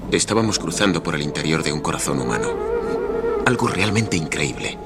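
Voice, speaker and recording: A man's voice: extremely low pitch, expressive, a little fast, over loud music. An actor playing an earnest, adventurous man on an expedition, dramatic in a radio play. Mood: awed. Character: awestruck adventurous man, determined expedition member telling his story. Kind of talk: radio drama acting and first-person narration.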